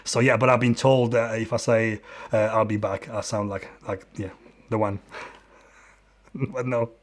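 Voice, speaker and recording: A man in his forties with a Polish accent chats through a live stream from his dim home studio. He talks in a halting, open way, restarting his sentences and filling the gaps as he answers questions. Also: lowish voice, little pitch movement. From Poland. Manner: halting, many restarts and fillers, friendly and open. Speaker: photographer and YouTuber hosting a live Q&A. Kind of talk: live-stream Q&A, casual chat.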